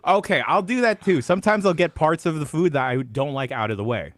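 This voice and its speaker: A man's voice: a little high, fairly quick, no echo. A man on a podcast panel, bantering casually.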